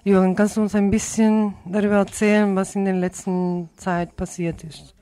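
A woman's voice: mid-range voice, little pitch movement, moderate pace, warm tone. A woman hosting a conversational political radio talk, searching for words and adding little question tags. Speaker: radio interviewer and co-host.

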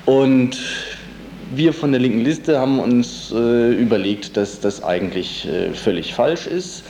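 A man's voice: medium pitch, animated, measured pace, dark tone. A man giving spontaneous, hesitant interview answers as an activist, often searching for words. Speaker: interviewed congress organiser and local political activist. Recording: roomy echo with audible background noise.

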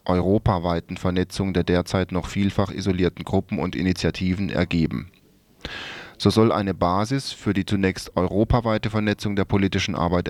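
Low-pitched man reading a radio report. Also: a little mellow, dry recording. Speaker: radio presenter. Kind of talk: scripted radio report, read aloud.

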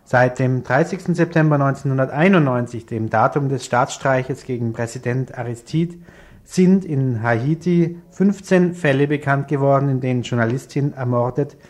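A man's voice: medium pitch, normal speed, darkish tone. Male radio news presenter reading a script. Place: radio studio.

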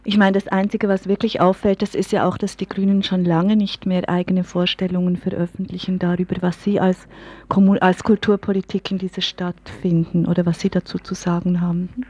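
A woman speaks in a casual interview style. Her voice is fairly low, dark and husky. The recording is dull and lo-fi, like local radio. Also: little pitch movement, a little fast. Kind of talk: casual conversational discussion.

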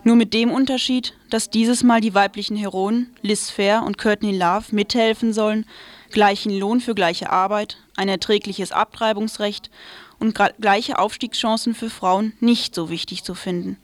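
A woman with a mid-pitched voice reads a scripted commentary as a radio presenter. The sound is dry, with very little room around her. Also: fairly even intonation, normal speed, no echo. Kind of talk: scripted commentary, read aloud.

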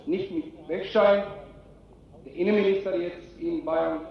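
A man speaking as an activist spokesman in non-native German, tired, making an impassioned speech in halting, emphatic short phrases. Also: high-pitched. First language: not German. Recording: echoey, distant, dull rally recording.

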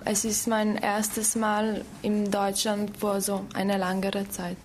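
An eighteen-year-old woman from Slovenia, a language student calmly recounting her arrival in a casual interview. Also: mid-range voice, slow pace, a little hiss or hum.

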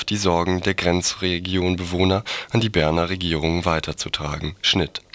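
A man's voice: sits low, a bit bright, dry recording. A man reading scripted news items on the radio as a news reader.

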